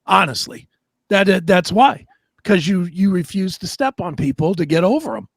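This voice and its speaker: A man's voice: sits high, animated, normal speed, vocal fry, dry recording, studio quality. A man co-hosting a talk show in a casual, profane way, blunt and opinionated. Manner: blunt, opinionated, swears freely.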